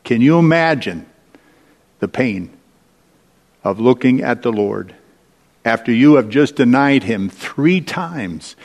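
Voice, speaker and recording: A man preaching a sermon, retelling Bible stories in a deliberate, storytelling manner with dramatic pauses and rhetorical questions. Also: medium pitch, darkish tone. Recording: echoey large hall with long reverb.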